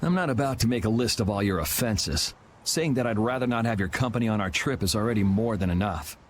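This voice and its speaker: An actor playing a cool, wry young guild vigilante in a video game, speaking in a dry, casual, sardonic way. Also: male voice, fairly low pitch, animated, fast, a little hiss or hum, lightly acted. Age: young adult.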